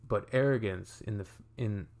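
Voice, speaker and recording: A man's voice: fairly low pitch, normal speed, darkish tone. A young adult man from Canada hosting a reaction video, chatting in a wry, think-aloud way. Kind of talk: casual reaction commentary.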